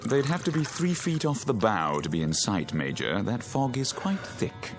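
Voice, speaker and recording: An actor in a radio drama playing a courteous, authoritative male ship's captain with a measured, reassuring manner. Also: mid-range voice, sing-song, soft background music.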